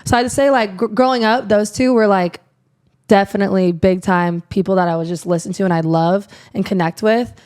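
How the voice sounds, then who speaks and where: Young woman guest from Iowa, raspy, loose rambling delivery. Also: medium pitch, steady tone, a little fast, a little mellow. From Iowa, USA. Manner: rambling, lots of "like" fillers. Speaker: guest, country singer. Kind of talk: casual interview chat.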